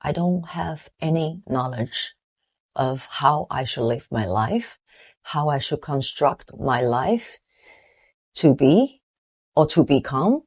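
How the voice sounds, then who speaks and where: A woman in her forties from Taiwan with a Taiwanese accent, giving an earnest, reflective motivational talk with halting restarts. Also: deep voice, animated, a little mellow, slightly rough. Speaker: motivational vlogger.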